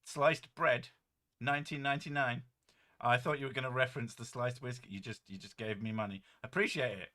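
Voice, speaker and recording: A man with a deep, boomy voice hosting a casual stream, joking and rambling with a few curses, his mic set well above his head. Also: steady tone, brisk, bright, forceful tone. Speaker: streamer host. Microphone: desk mic set well above his head.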